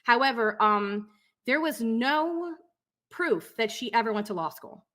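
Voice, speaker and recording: A woman's voice: on the high side, lively intonation, unhurried, a bit bright. A woman giving casual explanatory commentary, going through claims in a skeptical, methodical way. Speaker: commentator fact-checking a clip.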